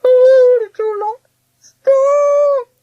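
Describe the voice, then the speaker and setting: A frightened, grumpy little father mouse played by a woman squeaks out blustering protests in an extremely high voice. The tone is rounded and soft, with a storybook feel, on a dry, dull recording. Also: fairly even intonation, drawn-out words, no room sound, faint music behind, full character voice. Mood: panicked. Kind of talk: storybook character voice.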